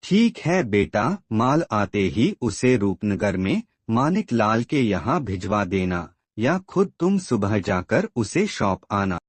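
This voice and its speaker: A man playing an ailing, gentle old father in a cartoon moral story, earnest and emotive. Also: on the low side, sing-song, slow, hardly any pauses, no room sound, exaggerated voice. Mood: caring.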